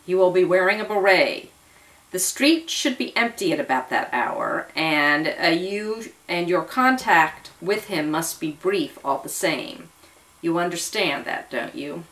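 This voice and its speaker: A polite, businesslike man from a dramatic story, voiced by a woman. He gives secret instructions in a measured, serious manner. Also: lowish voice, lively intonation, a bit bright, low-quality recording. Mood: serious, cautioning.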